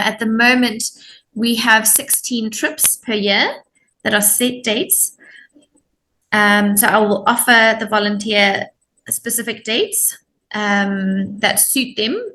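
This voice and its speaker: Bright-voiced woman. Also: a little high, takes it slowly, low-quality recording. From Zimbabwe. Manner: friendly, informative, with many ums. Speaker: volunteer program coordinator.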